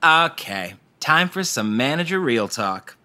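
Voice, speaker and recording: An actor playing a brash, chummy, slow-witted young manager with slangy bro talk in a comedic audio play. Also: male voice, a little high, big pitch swings, pushed and bright, full character voice. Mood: self-important.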